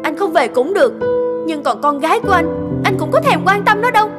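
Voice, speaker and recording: A woman voicing a hurt, reproachful young wife and mother in a cartoon, with loud music behind her. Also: very high-pitched, expressive, a little fast. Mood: hurt, accusing. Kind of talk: animated cartoon voice acting. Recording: loud background music over voice.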